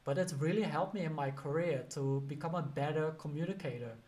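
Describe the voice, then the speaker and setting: Male teacher, Dutch accent, slightly high voice, casual motivational delivery. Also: brisk, a little mellow. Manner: conversational, sprinkled with "you know" and "like". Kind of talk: motivational career advice talk.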